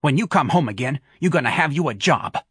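A man voicing a loud, blunt, working-class middle-aged mother who scolds her grown son in a shrill voice. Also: lively intonation, brisk, breathy edge, dry recording, clean studio sound. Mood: scolding.

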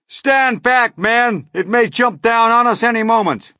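A man voicing an excitable, alarmed police chief in a storybook, blustering and shouting. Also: high voice, slow pace, slightly rough, no room sound, clean studio sound, voice pushed up, exaggerated voice.

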